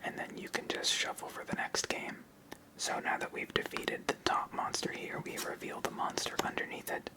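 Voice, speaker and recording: Whispered ASMR game host. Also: low-quality recording. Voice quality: whispered, unvoiced. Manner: calm, step-by-step explaining. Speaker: host explaining a card game. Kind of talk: whispered ASMR card game play-through.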